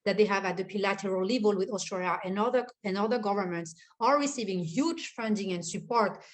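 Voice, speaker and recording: A woman's voice: mid-range voice, fairly quick. A woman moderating a panel discussion, speaking unscripted and stressing points through repetition.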